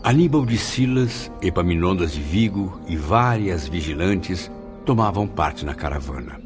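A man's voice: low-pitched, expressive, unhurried. A man narrating a radio drama in a solemn, dramatic way. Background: music.

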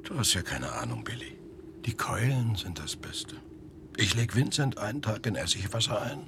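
A man's voice: on the low side, big pitch swings, moderate pace, background noise. An actor playing a hungry, teasing polar expedition crewman in a radio play, full of mocking, macabre banter.

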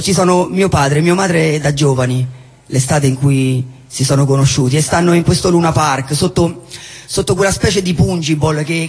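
A man acting a disillusioned, jobless character, blunt yet wistful, in halting stage dialogue with repeated phrases and a husky voice. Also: fairly high pitch, a little mellow. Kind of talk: theatrical stage dialogue and monologue. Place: theatre hall, live show. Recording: echoey hall, live stage recording.

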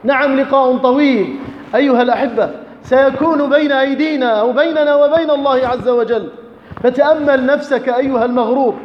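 High-pitched male preacher in an echoing hall. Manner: impassioned, rhetorical, exhorting. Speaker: preacher delivering a Friday sermon. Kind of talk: Islamic sermon with storytelling and exhortation. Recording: echoey reverberant hall, dull, faint noise.

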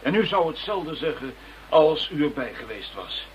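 An earnest detective in a radio play who argues his case firmly. His voice sits somewhat high. The recording is dull and lo-fi, with faint ambience. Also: sing-song, moderate pace, a little hiss or hum. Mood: insistent. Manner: firm, insistent. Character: earnest, insistent male detective. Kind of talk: radio drama acting.

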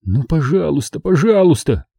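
A man reading a storybook as an excitable, indignant boy who pleads urgently. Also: high voice, normal speed, husky, no room sound, studio quality, voice pushed up, exaggerated voice. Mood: pleading.

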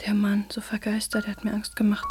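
A woman's voice: medium pitch, monotone, a little fast, soft background music, natural voice. An actress in a radio drama playing an anxious, poor young mother with plain folksy speech that often drops to a whisper. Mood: uneasy, sorrowful. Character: anxious poor young mother tending her little boy.

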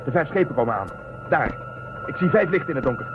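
A fast-talking actor's male commander in a radio play, anxious and protective. He speaks in clipped, urgent phrases at a middling pitch. The sound is thin and phone-like, with clear noise. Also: lively intonation, close to an everyday voice. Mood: urgent, worried.

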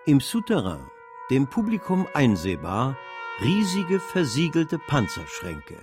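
A theatrical male chronicler narrates a literary radio drama. His medium-pitched voice moves with lively, ironic grandeur over clearly audible music. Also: measured pace.

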